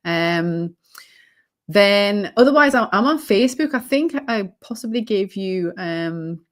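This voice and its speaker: A British woman, slightly hoarse, chatting as an interview guest in a reflective, rambling way with many ums and restarts. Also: medium pitch, expressive, slow pace. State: slightly hoarse, losing her voice. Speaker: guest, holistic healing coach. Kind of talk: casual interview chat.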